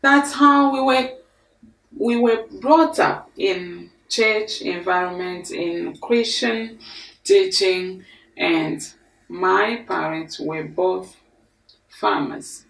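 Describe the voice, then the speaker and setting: Woman from Congo, slow deliberate storyteller, gravelly edge, echoey studio picked up from a distance. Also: mid-range voice. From Congo DRC. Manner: slow, deliberate, expressive with hand gestures. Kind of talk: personal life story to camera. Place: studio before a green screen. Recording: echoey room, mic at a distance.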